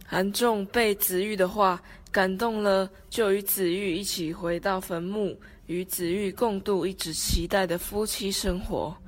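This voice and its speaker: A woman's voice: mid-range voice, steady tone, a little slow, hardly any pauses. A woman with a Taiwanese Mandarin accent reading scripted narration for an amateur radio drama. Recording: background music under the voice.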